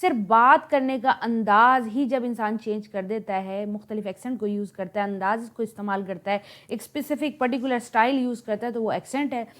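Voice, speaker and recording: A woman's voice: a little high, steady tone, brisk, hardly any pauses, fairly bright tone. A woman from Pakistan with a Pakistani Urdu accent, explaining linguistics notes as a lecturer, repeating points with examples and slipping in English terms.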